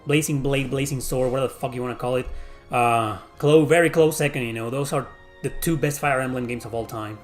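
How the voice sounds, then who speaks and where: A man's voice: medium pitch, a little fast, soft background music. A middle-aged man from Mexico with a Mexican accent, answering viewer questions in a blunt, profane, rambling casual chat.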